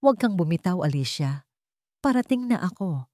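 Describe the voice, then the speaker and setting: A woman's voice: lowish voice, sing-song, normal speed, warm tone, no room sound, clean studio sound. A woman voicing a stern, commanding young rich man in a story, with weighty, dramatic delivery. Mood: urgent, afraid.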